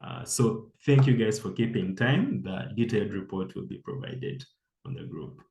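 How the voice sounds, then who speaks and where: Male timekeeper, fairly low pitch, reporting informally in a reverberant space. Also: animated, normal speed, gravelly, mellow. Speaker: meeting timekeeper. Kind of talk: informal spoken report to a meeting. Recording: echoey room sound.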